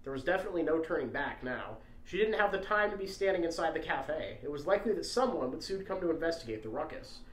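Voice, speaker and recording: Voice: mid-range voice, animated, quick pace, few pauses, a little hiss or hum. Echoey podcast co-host reading and chatting. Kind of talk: dramatic story narration and casual podcast chat. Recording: echoey, roomy recording.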